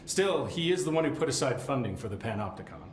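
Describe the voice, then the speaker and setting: An actor playing a wry, jaded middle-aged government supervisor in a video game, rambling with sardonic asides. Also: male voice, mid-range voice, big pitch swings, fast talker, roomy sound, slight background noise, natural voice. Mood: dry, grumbling. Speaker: containment sector supervisor. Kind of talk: video game cutscene acting.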